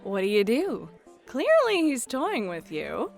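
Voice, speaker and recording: A woman runs a fantasy roleplay as its game master. Her fairly high voice moves expressively up and down as she narrates and teases, over soft background music. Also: huge pitch swings, slow pace. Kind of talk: playful second-person fantasy narration, ASMR roleplay.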